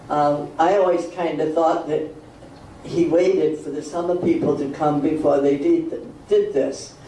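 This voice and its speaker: An older woman with a loud voice, sharing old family memories in a wry, chatty way. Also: low voice. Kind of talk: oral history reminiscence. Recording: roomy hall from far off, faint noise.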